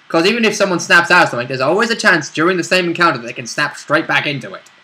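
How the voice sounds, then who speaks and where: A man's voice: a little high, animated, racing, pushed and bright. A man chatting casually as a tabletop game player, bantering and interjecting. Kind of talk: casual chat.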